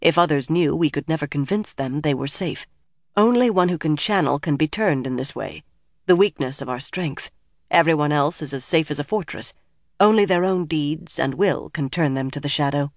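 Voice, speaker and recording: A woman narrator voicing a solemn, motherly but secretive senior sorceress in an audiobook, speaking in hushed, measured, firm tones. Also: deep voice, no room sound, studio quality. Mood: hushed, urgent.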